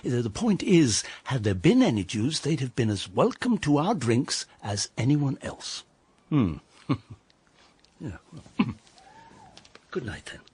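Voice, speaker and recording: A pompous, old-fashioned English father in a comic radio play. His voice is husky and breathy, his delivery slow and deliberate, and his pitch swoops widely as he lectures. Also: big pitch swings, a little mellow, heavily acted. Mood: awkward. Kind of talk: comic radio drama acting.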